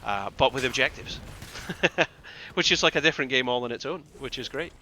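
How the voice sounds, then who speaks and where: A man from the Scottish Highlands with a Scottish accent, casting a game live in a conversational, rambling way and repeating phrases for emphasis. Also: expressive, moderate pace, sharp tone. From Scottish Highlands, northeast Scotland. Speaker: esports caster and streamer. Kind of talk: live game commentary with casual asides to chat.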